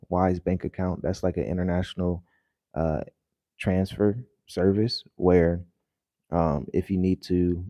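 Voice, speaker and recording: A man who has just woken up, his voice deeper than usual, talking casually and rambling to viewers in a vlog with lots of fillers. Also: warm tone, no room sound, clean studio sound. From United States. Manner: rambling, loose and conversational with many fillers. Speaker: vlogger. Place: home in the early morning.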